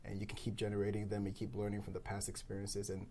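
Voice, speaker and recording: A podcast co-host with a creaky, mellow voice, talking casually at a round table. The delivery is animated and emphatic, full of slang. A faint room noise sits behind the speech. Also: deep voice, fast talker. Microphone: podcast microphone.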